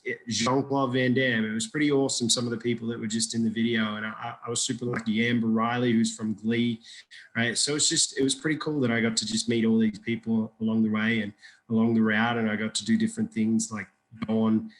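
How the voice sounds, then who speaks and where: A man's voice: mid-range voice, steady tone, fairly quick, rough recording. A man from Iraq, a guest telling his story in a casual interview, rambling and joking with many restarts.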